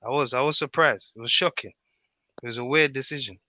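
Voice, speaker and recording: A man's voice: mid-range voice, brisk, pushed and bright, no room sound. A man giving plain-spoken opinions in a casual football discussion. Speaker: podcast panellist.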